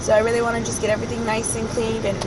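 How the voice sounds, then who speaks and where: A woman's voice: on the high side, monotone, rapid-fire, distant mic. A woman chatting casually in a vlog, telling a long rambling story full of fillers while she sounds congested. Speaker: vlogger. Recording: loud traffic noise, voice off-mic.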